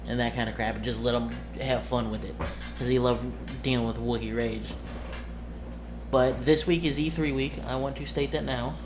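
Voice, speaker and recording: A man talking casually to his viewers as a streamer and game master, halting and rambling as he corrects himself. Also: medium pitch, steady tone, background noise.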